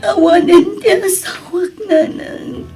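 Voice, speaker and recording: A woman's voice: very high-pitched, steady tone, slow, warm tone. A woman playing a sorrowful, emotional adult daughter in an Ilocano audio drama. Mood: grieving. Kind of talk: Ilocano radio-style drama acting. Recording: faint music under the voice.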